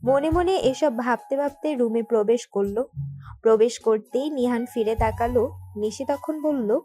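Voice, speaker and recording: A woman's voice: a little high, a little slow, warm tone. A woman reading scripted narration for a romantic story. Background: background music.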